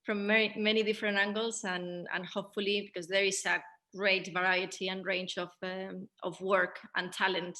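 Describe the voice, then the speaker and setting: A woman hosting an unscripted panel discussion, speaking in a hesitant, halting way with frequent pauses. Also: mid-range voice, flat delivery, pushed and bright. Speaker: host of a post-show panel, theatre director.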